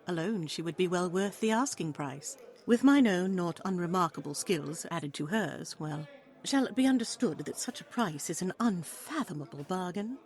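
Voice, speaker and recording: A woman's voice: lowish voice, lively intonation, moderate pace, a little mellow. Bold, wily seductress played by a woman, coaxing. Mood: sly, coaxing. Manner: coaxing, sly, formal archaic speech. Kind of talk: audio play acting with archaic English.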